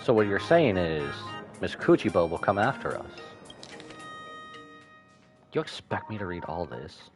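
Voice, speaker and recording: A male game streamer with a lively, widely swinging pitch gives casual reaction commentary. He mixes muttered asides with exclamations, with some music faintly behind him. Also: a little slow, pauses often.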